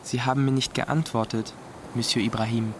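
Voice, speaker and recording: Guy playing cheeky teen boy, candid, a bit vulnerable, medium pitch, radio play. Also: little pitch movement, moderate pace, noisy background, lightly acted. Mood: curious, candid. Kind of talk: radio drama dialogue.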